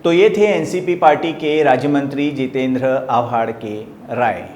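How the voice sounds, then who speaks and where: A man with an Indian accent presenting a news report in halting phrases. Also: medium pitch, darkish tone, a little hiss or hum. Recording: echoey room.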